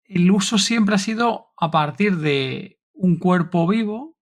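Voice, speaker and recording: Spanish-accented man, fairly high voice, strong vocal fry, podcast host, casual rambling questions. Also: takes it slowly. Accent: Spain. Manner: rambling questions with frequent tag questions. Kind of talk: casual interview chat.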